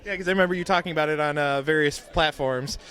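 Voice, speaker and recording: Upbeat guy interviewing cosplayers at a con, high voice, talks fast. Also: a bit bright, clean tone. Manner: quick and cheerful, says "alrighty" between questions. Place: at a fan convention.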